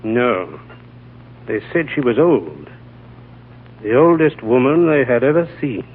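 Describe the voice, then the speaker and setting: An actor playing an eager, concerned gentleman in a 1940s radio drama. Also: medium pitch, lively intonation, slow, background noise. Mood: intent, curious. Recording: tinny 1940s radio broadcast.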